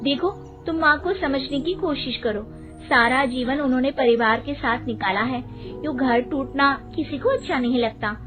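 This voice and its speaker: Woman acting earnest daughter-in-law, persuasive, cartoon drama, higher voice, loud music. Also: normal speed, natural voice. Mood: earnest appeal. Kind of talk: cartoon moral-story acting. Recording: thin phone-like band, loud music.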